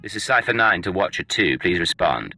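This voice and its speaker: Forceful, deep-voiced, curt secret agent. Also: male voice, big pitch swings, brisk, gravelly, soft background music, full character voice. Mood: cool, guarded. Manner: terse, cool, defiant. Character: tough, curt, defiant male Imperial secret agent. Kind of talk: video game cutscene acting.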